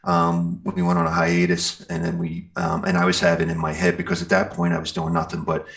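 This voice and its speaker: Very deep, gravelly middle-aged American man. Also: completely flat delivery, a little fast, a bit bright. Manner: rambling, searching for words. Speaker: musician being interviewed. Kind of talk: casual interview chat.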